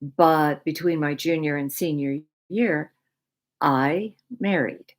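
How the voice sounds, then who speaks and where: An American woman hosting her own video, explaining things reflectively to viewers in deliberate, halting phrases with pauses. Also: low voice. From Michigan, United States (born in Poland). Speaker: video series host.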